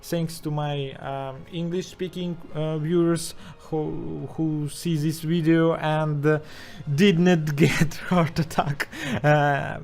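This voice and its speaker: A man speaking English with a strong Russian accent, commenting haltingly over footage with many fillers and restarts. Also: a little high, little pitch movement, darkish tone. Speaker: channel host.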